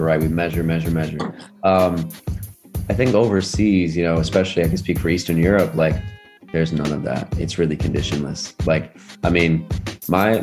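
A man's voice: low-pitched, darkish tone. A young American man telling personal stories in a casual, reflective way, often dropping in filler phrases like "you know". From United States. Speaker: podcast guest. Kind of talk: casual conversational storytelling.

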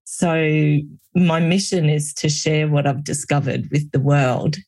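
A woman's voice: deep voice, level tone, slow, darkish tone. Earnest middle-aged woman telling her story. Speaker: healer and guest. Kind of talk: personal story in a conversational interview.

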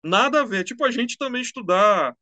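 Chatty high-voiced man. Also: fairly quick, dry recording, dull audio. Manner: relaxed, slangy, chatty. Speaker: musician guest. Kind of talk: casual interview storytelling.

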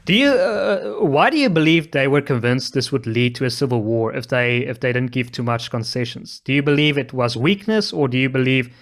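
A man's voice: medium pitch, lively intonation, moderate pace, few pauses. A man interviewing a guest, asking probing questions and summarizing answers.

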